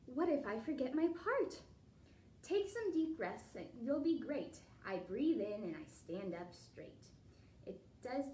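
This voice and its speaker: High-voiced woman teaching children, distant and roomy. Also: a little hiss or hum. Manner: encouraging, instructive, addressing children directly. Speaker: children's ballet teacher and storytime host. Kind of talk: storybook reading and guided stretch class for young children. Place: living room at home. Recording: roomy, distant home recording with loud music.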